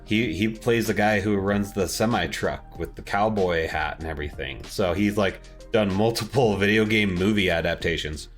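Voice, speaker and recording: A man's voice: low voice, normal speed, hardly any pauses, faint music behind. A man co-hosting a podcast, talking in an informal, enthusiastic way about films and games. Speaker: podcast co-host.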